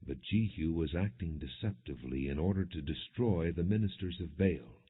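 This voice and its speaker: Muffled, deep-voiced male scripture narrator. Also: normal speed, breathy edge. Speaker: audiobook narrator. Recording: dull, muffled phone-like audio.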